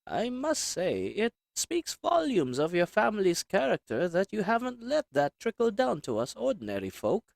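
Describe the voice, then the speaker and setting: A man's voice: sits high, wildly swooping pitch, moderate pace, gravelly, few pauses, no echo, studio quality, voice pushed up, exaggerated voice. A man voicing a polite, meek storekeeper in a roleplay audio drama, courteous and hesitant in manner. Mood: polite, helpful. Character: polite, meek storekeeper, an assassin's flirty disguise.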